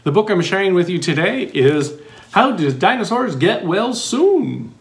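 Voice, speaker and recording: Middle-aged male storybook reader, expressive and playful, swooping intonation with noticeable vocal fry. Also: high-pitched, unhurried. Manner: expressive, sing-song questions with playful commentary. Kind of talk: animated children's picture book read-aloud with asides.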